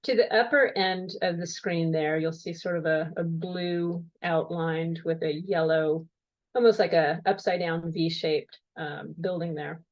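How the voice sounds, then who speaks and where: A woman walking an audience through building plans and timelines in a slide presentation on a video call, in a measured, explanatory way with occasional fillers. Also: deep voice, fairly even intonation. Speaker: county project presenter. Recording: dull lo-fi call audio.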